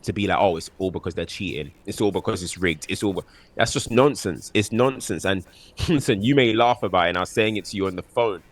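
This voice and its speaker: A man's voice: low-pitched, a little fast. A man co-hosting a casual football chat, emphatic and fond of mocking repeated phrases. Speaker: co-host.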